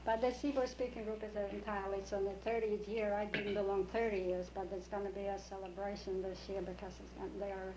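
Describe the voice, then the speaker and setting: An older woman who grew up in the Russian Empire and speaks Yiddish as her first language, telling her story slowly in an oral history interview with many pauses and restarts. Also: medium pitch, level tone, background noise. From Russian Empire, Ukraine region.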